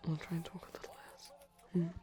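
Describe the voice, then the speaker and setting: A soft, breathy whisper from a man acting as a gentle, bashful vampire boyfriend in an ASMR roleplay. He rambles hesitantly and drowsily, sweet and devoted. Film music plays quietly from a TV behind him. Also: fairly quick, natural voice. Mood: tender, hesitant. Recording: TV soundtrack playing behind the voice. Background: film audio and music from a TV.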